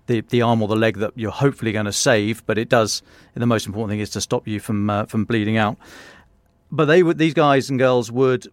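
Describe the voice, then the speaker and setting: A man's voice: medium pitch, no echo. A man hosting a podcast interview, talking conversationally and often repeating words as he goes. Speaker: podcast host.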